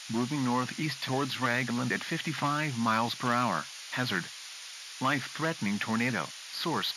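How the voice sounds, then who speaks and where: Hissy weather alert announcement, formal man. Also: mid-range voice. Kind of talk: scripted weather warning bulletin. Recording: hissy, noisy broadcast audio.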